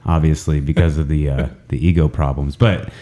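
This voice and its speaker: A man hosting a podcast in casual chat, his voice deepened by a cold, rambling and joking at his own expense with many ums and uhs. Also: fairly even intonation, fairly quick, warm tone. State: deep, congested voice from a cold. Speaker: podcast host. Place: indoor training center room, several people around a table.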